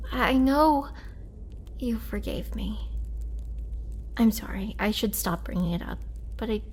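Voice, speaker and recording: A woman playing a gentle, remorseful young vampire in an intimate roleplay audio drama, speaking softly and hesitantly with tender care. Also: medium pitch, lively intonation, slow pace, a little hiss or hum. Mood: humbled, remorseful. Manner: soft, hesitant, caring delivery.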